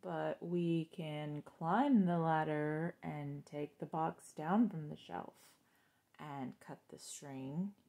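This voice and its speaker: A woman voicing a glum, easily tempted male toad in a storybook, with slow, drawn-out delivery. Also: low voice, vocal fry, warm tone, pitched below the performer's own voice, full character voice. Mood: doubtful, tempted.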